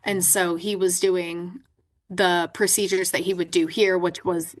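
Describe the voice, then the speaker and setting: A woman's voice: fairly low pitch, level tone, a little slow. Guest, female, 20s, chatty and talkative, often saying "like". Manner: chatty, frequent "right?" and "like". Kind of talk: interview conversation.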